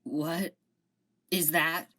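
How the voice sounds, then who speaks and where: A woman's voice: sits low, flat delivery, extremely slow, pushed and bright, full character voice. A woman playing a nervous young female security officer in an amateur audio drama, blunt and anxious. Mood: uneasy.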